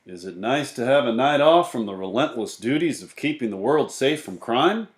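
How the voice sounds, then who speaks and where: Storybook role: self-satisfied male cop, read aloud by a man, deliberate and content, roomy sound. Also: medium pitch, lively intonation, close to an everyday voice. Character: contented off-duty policeman talking to himself.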